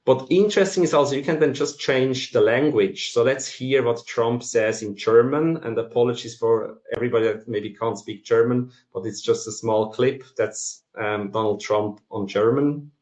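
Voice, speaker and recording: A man with a Swiss accent giving an explanatory presentation in a halting way, with frequent ums. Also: medium pitch, a little mellow. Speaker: masterclass presenter. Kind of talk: explanatory conference presentation for non-technical listeners. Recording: roomy, echoing sound.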